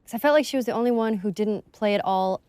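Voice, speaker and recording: A woman's voice: medium pitch, fast, a little mellow, smooth, no room sound. A woman chatting casually as an actress giving commentary on her work.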